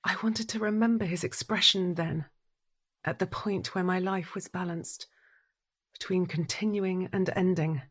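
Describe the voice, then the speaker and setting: An actress playing a troubled, withdrawn wife who has lost her hearing, narrating an audio drama in a breathy, deliberate, introspective way. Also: medium pitch. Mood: anguished, questioning. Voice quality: breathy, husky.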